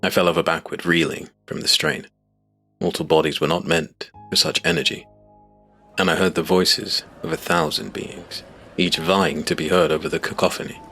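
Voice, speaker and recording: A man reading scripted first-person fiction as narrator, in a grave, measured, dramatic way. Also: low voice, steady tone, bright, forceful tone, faint music behind.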